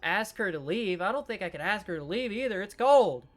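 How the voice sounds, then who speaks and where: A man's voice: high-pitched, lively intonation, brisk. A man hosting a gaming video, reading a visual novel aloud, musing over choices, talking to viewers and swearing casually. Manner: reads story text, then muses aloud and swears casually; talks to viewers while deciding.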